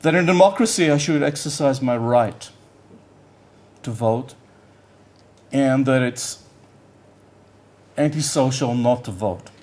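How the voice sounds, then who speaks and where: Older male economist, Yiddish first language, mid-pitched, halting conversational conference lecture. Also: darkish tone. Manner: halting, searches for words, repeats "I, I". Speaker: economics professor giving a lecture.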